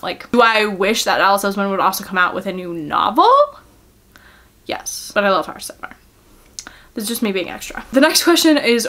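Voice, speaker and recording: A young woman talks to her viewers about books in a casual, chatty way. Her medium-pitched voice gushes informally, full of filler words, with a giggly, self-deprecating streak. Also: a bit bright. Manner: gushing, informal, filler-heavy with frequent "like". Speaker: book vlogger.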